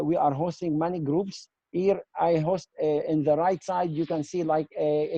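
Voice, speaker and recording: A man gives an earnest, emphatic webinar talk in halting English. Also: on the high side, creaky, warm tone, dry recording, lo-fi sound. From Israel. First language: not English; speaks Arabic, Aramaic and Hebrew. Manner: earnest, emphatic, often addressing listeners as "my friends"; halting, non-native English with repeated word starts. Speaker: guest speaker, community association chairman and army veteran. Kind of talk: webinar presentation, advocacy talk.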